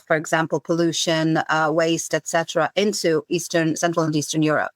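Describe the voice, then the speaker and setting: A woman's voice: medium pitch, no room sound. A woman in her forties, raised in Zambia, thoughtfully answering interview questions about her work. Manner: thoughtful, many fillers and restarts. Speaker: podcast guest, campaign coordinator.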